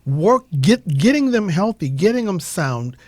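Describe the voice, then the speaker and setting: A man's voice: high-pitched, sing-song, a little slow, darkish tone, no echo, clean studio sound. A man hosting a radio talk show in a casual, conversational style, affirming and often repeating short phrases.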